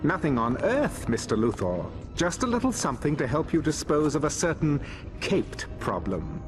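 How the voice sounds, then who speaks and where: A man's voice: on the high side, very animated, normal speed. A man playing a scheming servant of an alien overlord, formal, obsequious and sly, in a superhero game. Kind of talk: animated superhero game cutscene acting. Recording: music behind.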